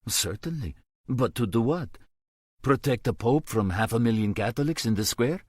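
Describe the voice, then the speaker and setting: A man's voice: mid-range voice, lively intonation, normal speed, a little mellow, dry recording, studio quality, pitched above the performer's own voice, natural voice. A man voicing an anxious middle-aged priest who speaks earnestly and apologetically. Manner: earnest, pleading.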